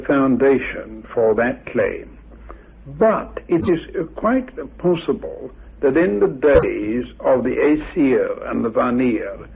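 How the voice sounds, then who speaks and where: Husky, creaky man, muffled sound. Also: mid-range voice. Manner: courteous, formal, politely dismissive. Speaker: interviewed expert on Atlantis theories. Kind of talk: radio interview, measured scholarly exposition. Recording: muffled, band-limited radio recording.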